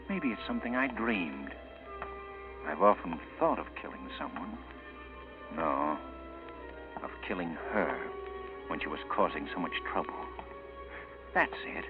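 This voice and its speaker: An actor playing a guilt-haunted, jittery murderer in a 1940s radio drama monologue, halting and melodramatic. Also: male voice, low-pitched, sing-song. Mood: doubting, haunted. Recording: dull vintage radio recording, music behind. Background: dramatic music.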